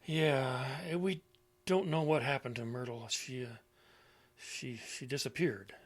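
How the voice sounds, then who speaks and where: A man's voice: medium pitch, steady tone, natural voice. An actor playing an elderly widower with failing memory in an audio drama, meek and muddled, stammering as he hunts for words. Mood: defensive, wistful. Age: older. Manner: halting, stammering, searching for words.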